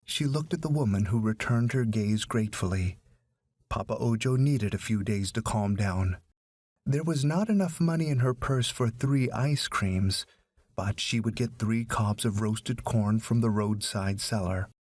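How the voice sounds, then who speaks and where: A man's voice: on the low side, a little slow, darkish tone, dry recording. A man reading a story as a scripted audiobook narration.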